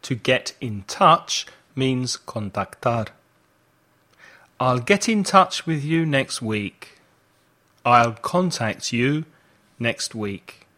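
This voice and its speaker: A man teaching an English lesson as host, enunciating deliberately and didactically, with phrases set out for learners to repeat. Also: medium pitch, animated, bright, forceful tone. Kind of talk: language lesson with slow, repeat-after-me delivery.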